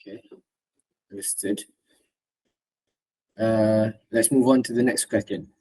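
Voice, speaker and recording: A man's voice: fairly low pitch, fairly even intonation, slow pace, dark tone, breathy edge, low-quality recording. A man asking interview questions in a casual way.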